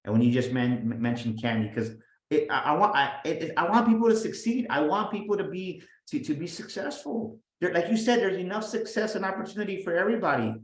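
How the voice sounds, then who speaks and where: A man talking as a guest business coach, energetic and emphatic, often restarting and repeating his words. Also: sits high, fast. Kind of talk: motivational interview talk. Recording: roomy echo.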